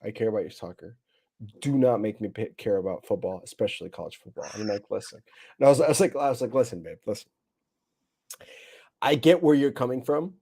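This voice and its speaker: An American man hosts a casual podcast with a mellow, soft-edged voice. He rambles through stories in loose slang, drifting off on tangents and swearing freely. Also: on the low side, fast, vocal fry, breathy edge. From Nebraska, United States. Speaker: podcast host.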